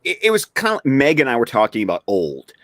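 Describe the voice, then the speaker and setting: A man banters on a podcast panel with a highly varied pitch and a dry, close sound. He is joking and emphatic, often repeating words for effect. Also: fairly quick, no room sound. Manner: animated, joking, emphatic repetition. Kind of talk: casual banter.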